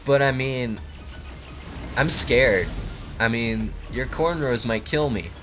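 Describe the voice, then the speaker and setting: A man's voice: medium pitch, slow pace, fairly bright tone, soft background music. A man voicing a timid, frightened barber who speaks with reluctance in a comic story. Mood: nervous. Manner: hesitant, reluctant.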